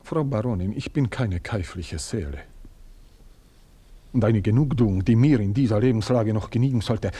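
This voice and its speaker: An actor playing a proud, indignant manservant, speaking in a stilted formal way and bursting into outrage. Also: male voice, lowish voice, moderate pace, mellow, breathy edge. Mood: wounded dignity. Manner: stilted formal speech, swings into outraged outbursts. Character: proud indignant middle-aged male manservant, pompous and wounded. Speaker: servant. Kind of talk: radio play acting.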